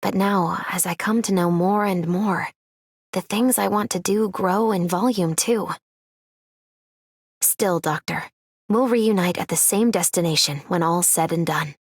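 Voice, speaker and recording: A woman voicing a composed, devoted researcher in a game, speaking in a measured, earnest way. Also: on the low side, breathy edge, no echo, studio quality. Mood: earnest, reflective. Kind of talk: scripted game voice lines.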